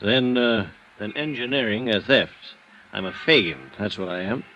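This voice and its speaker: An actor playing a rumpled, boozy architect who rambles with grand, ironic self-deprecation in a 1950s radio drama. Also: male voice, fairly low pitch, expressive, moderate pace, bright, forceful tone. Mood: mock confessional. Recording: dull, faintly noisy vintage radio recording.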